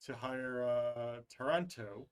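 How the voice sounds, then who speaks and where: A man's voice: mid-range voice, takes it slowly. A man in casual chat as he plays a board game and explains card rules. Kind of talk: casual tabletop play chat.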